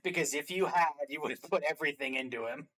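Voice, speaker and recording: Reaction show host, mid pitch voice, just casual commentary. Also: quick pace, a bit bright, low-quality recording.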